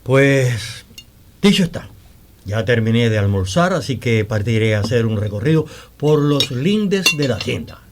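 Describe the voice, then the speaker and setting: An actor playing a confident, courteous young landowner in a radio drama, speaking in formal period language. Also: male voice, mid-range voice, very animated, moderate pace, slight background noise, lightly acted. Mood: self-assured. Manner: formal, self-assured period speech. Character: confident, courteous, devout young gentleman of the hacienda.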